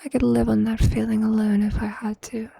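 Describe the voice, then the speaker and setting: A performer voicing a possessive, tender vampire lover in an intimate, breathy ASMR roleplay whisper, with a hushed, seductive and affectionate manner. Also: mid-range voice, level tone, dry recording. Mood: possessive, adoring. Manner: slow, hushed, seductive, affectionate, formal wording.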